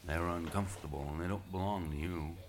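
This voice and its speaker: A man voicing a huge, surly old sea serpent who talks in a gruff, threatening way. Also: sits low, steady tone, a little fast, slight background noise, close to an everyday voice.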